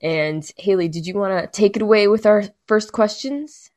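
A woman's voice: mid-range voice, normal speed, a little mellow. Encouraging woman hosting an interview. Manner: encouraging, affirming. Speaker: host. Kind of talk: casual interview.

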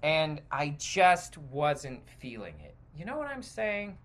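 A man's voice: on the high side, sing-song, slow, a bit bright. A man hosting a podcast, delivering a casual, opinionated rant in a rambling, digressive and emphatic way. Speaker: podcast host.

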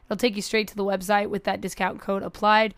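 A woman hosting a podcast in a casual, chatty way, her voice a little hoarse from a cough. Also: medium pitch, level tone, fairly quick, fairly bright tone, dry recording. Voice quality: upbeat, chatty. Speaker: podcast host.

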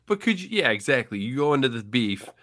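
A man's voice: mid-range voice, quick pace, dry recording, clean studio sound. A man in his thirties from Central Illinois with a matching accent, co-hosting a casual podcast and ranting bluntly with some swearing. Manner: blunt, swears, animated rants. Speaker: podcast co-host. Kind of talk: casual chat.

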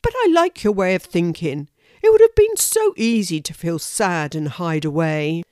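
A woman voicing a kindly, polite male hedgehog in a children's story, speaking in a gentle, plain-spoken, concerned manner. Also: on the low side, sing-song, normal speed, dry recording, clean studio sound. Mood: encouraging.

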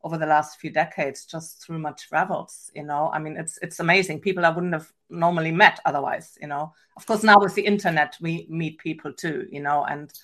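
A woman's voice: sits low, steady tone, fairly quick, hardly any pauses, fairly bright tone. A middle-aged woman from Germany with a German accent touched by British, chatting casually as an interview guest, full of fillers. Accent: German, with a trace of British. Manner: chatty, filler-heavy, frequent little laughs. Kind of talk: casual live interview chat.

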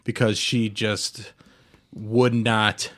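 A man's voice: fairly low pitch, little pitch movement, fairly bright tone. Middle-aged man, sweary casual podcast storyteller. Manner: sweary, halting, like-peppered talk. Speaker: podcast co-host.

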